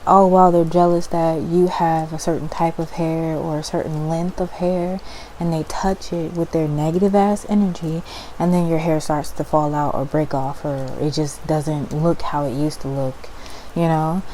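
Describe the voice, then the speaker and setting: ASMR host, female: lowish register, dark and relaxed tone, soothing informal delivery, slight ambient noise behind. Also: moderate pace, rough recording. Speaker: ASMR host and spiritual practitioner. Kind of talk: ASMR, soft-spoken informal explaining. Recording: faint background noise and ambience.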